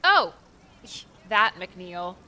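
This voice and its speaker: Man putting on a high young woman's voice. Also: big pitch swings, very slow, sharp tone, a little hiss or hum, full character voice. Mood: bright curiosity. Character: breezy, naive young woman traveler. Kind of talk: audiobook character voice.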